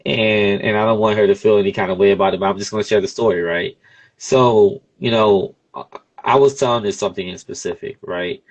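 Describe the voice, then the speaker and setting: Male, early 30s, deep voice. Podcast host in relaxed interview conversation, informal phrasing with frequent conversational check-ins. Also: a little fast, poor audio. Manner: informal, peppered with "you know what I'm saying". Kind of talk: casual conversational interview talk.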